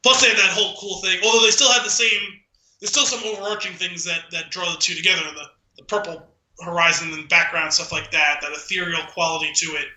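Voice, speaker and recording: A man with a very bright, forceful voice pitched a little high talks casually as a podcast co-host. His phrasing rambles and feels its way along. The room around him echoes noticeably. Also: steady tone, fast. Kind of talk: casual film discussion chat. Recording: roomy, echoing room sound.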